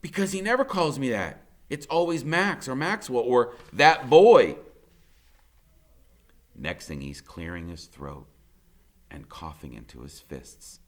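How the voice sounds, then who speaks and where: A man's voice: mid-range voice, very animated, slow pace, pauses often. A man narrating a first-person story as an audiobook reader, conversational, wry and dramatic in his delivery.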